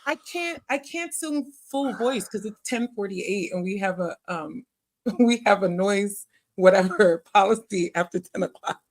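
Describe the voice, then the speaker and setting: A woman with a deep voice, chatting casually as a guest on a call and laughing at herself often. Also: expressive, unhurried. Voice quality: deep speaking voice. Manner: self-deprecating, laughs often. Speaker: live stream guest. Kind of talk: casual chat.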